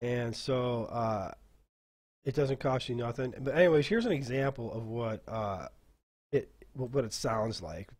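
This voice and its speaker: A man walks listeners through a casual screen-share tutorial for game masters. His voice sits at an ordinary middle pitch, and he talks off the cuff. His delivery is halting and rambling, as he thinks aloud. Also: darkish tone. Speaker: dungeon master teaching a software class.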